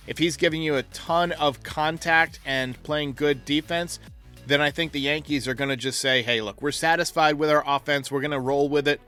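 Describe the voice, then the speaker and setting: A man's voice: on the high side, fairly bright tone. A man hosting a sports talk show, giving opinionated commentary and reasoning aloud through his points. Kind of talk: sports commentary, answering caller voicemails.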